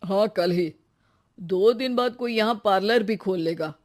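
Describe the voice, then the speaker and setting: A woman's voice: medium pitch, measured pace, close to an everyday voice. Woman playing cartoon shop landlord, blunt, dismissive, matter-of-fact.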